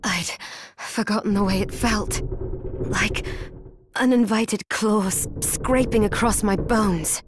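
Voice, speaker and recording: A woman's voice: medium pitch, slow pace, noisy background, close to an everyday voice. A woman acting an earnest, determined young fighter in a dramatic video game scene. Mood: confident, determined. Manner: earnest, resolute.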